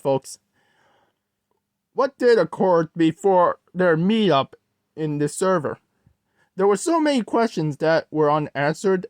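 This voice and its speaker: A storyteller narrating a creepy tale in a halting way, often stumbling and rewording. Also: medium pitch, no echo, studio quality. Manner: halting, corrects own wording mid-sentence. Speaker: host, storyteller.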